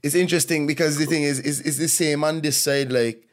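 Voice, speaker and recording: A male host with a slightly raised voice chats in a relaxed way. He keeps affirming the other person with "mm-hmm" and works his thoughts out loud, restarting phrases as he goes. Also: fairly high pitch, brisk. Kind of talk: casual podcast chat.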